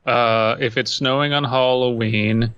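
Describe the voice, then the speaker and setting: A man's voice: mid-range voice, steady tone, a little slow, clean tone, no echo, lo-fi sound. A man in his thirties co-hosting a podcast with casual, joking chat. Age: 30s. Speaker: podcast host.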